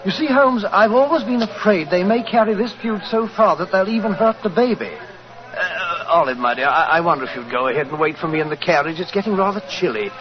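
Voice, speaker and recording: Middle-aged country major, upper-class English accent, high swooping voice, fussy, anxious, effusive, 1940s radio play. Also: male voice, expressive, fairly quick. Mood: placating, fretful. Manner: fussy, effusive, hesitant politeness. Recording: muffled 1940s radio recording with music.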